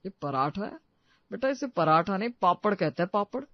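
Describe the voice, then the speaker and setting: A man's voice: sits high, expressive, normal speed, dry recording, dull audio. A man playing a grumbling elderly father, complaining theatrically and sarcastically in a story. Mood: sarcastic disbelief. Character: grumbling elderly father who loves rich food. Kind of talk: Hindi audio story dramatization.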